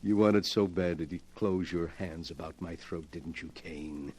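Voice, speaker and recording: An actor in an old-time radio horror drama playing a sinister, morbid invalid collector, speaking with theatrical courtesy and gloating relish. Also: male voice, low-pitched, a little slow, a little mellow, slight background noise. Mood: menacing, gloating.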